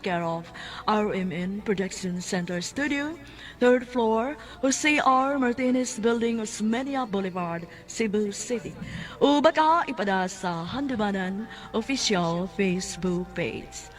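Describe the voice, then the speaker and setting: A woman's voice: on the high side, animated, unhurried. A woman with a Cebuano Filipino accent delivering scripted narration as a radio drama host. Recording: music bed under the voice.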